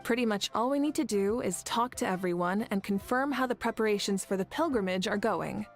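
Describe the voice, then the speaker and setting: Brief: actress as a poised game leader, calm and friendly, mid-pitched, soft music under her. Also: a little fast, close to an everyday voice.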